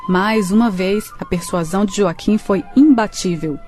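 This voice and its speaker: A woman's voice: medium pitch, moderate pace, over music. A woman with a Brazilian accent narrating a scripted radio drama.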